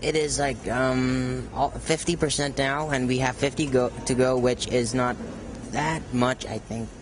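Young student guy, medium voice, clear background noise. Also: mid-range voice, steady tone, a little slow. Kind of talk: casual interview answers.

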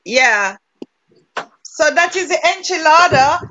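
Jamaican woman, cooking instructor, chatty, high-pitched, light faint hiss on the line. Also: expressive, slow, sharp tone, dull audio. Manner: chatty, laughs easily, gives step-by-step directions. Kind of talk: teaching a cooking class over video call. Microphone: video call.

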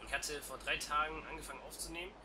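A man's voice: on the high side, fast talker. A young man with a stuffy cold, chatting casually as a let's play host on a headset. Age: young adult. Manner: chatty and relaxed. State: congested with a cold. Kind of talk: casual game commentary. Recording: noisy home headset recording.

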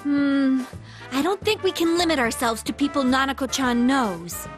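A woman playing an earnest, perky teenage girl sleuth in a video game, expressive and questioning. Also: high voice, moderate pace, lightly acted. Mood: curious. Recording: music under the dialogue.

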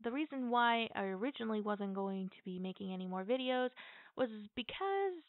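A woman's voice: fairly high pitch, expressive, no room sound. A thin, phone-like recording with hardly any room sound carries a woman's voice. She hosts her channel informally, talking straight to listeners without a script. Her delivery halts and picks up again as she goes. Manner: halting, informal, addressing listeners directly. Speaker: channel host. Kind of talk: casual unscripted channel announcement. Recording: thin, band-limited phone-like audio.

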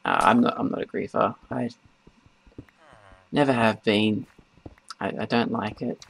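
A man giving casual, rambling gameplay commentary in a halting way as host of a gaming video. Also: on the low side, fairly even intonation, creaky, fairly bright tone, breathy edge. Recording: noisy, keyboard and mouse clicks.

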